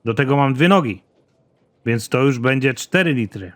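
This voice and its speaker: A man playing a gruff, trigger-happy, hard-drinking space soldier in an animated comedy, with a crude, deadpan, grudging delivery. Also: mid-range voice, moderate pace, close to an everyday voice. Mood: dry, stubborn.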